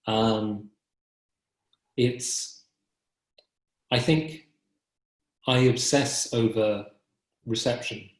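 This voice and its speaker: A young man talks reflectively in an interview, halting and rephrasing as he goes. His voice sits fairly low and stays in a narrow range. The sound is a roomy, echoing video call from a room at home. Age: young adult. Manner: hesitant, halting, self-correcting. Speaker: poet being interviewed. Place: book-lined room at home, indoors. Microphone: computer mic on video call.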